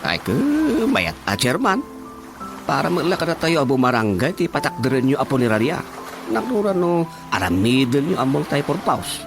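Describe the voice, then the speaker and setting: A man's voice: mid-range voice, sing-song, moderate pace. An actor playing a chatty, deferential village man with a Filipino accent, hesitant and repetitive, in a comedy radio drama. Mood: agreeable. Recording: radio drama with music underneath.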